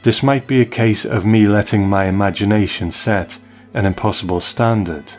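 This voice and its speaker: Male podcast host, lowish pitch, measured reading of listener messages, music in the background. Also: a little mellow.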